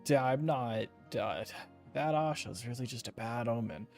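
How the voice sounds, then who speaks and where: A man's voice: medium pitch, normal speed, soft background music. A man reading game text aloud and commenting casually as he plays. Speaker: game streamer.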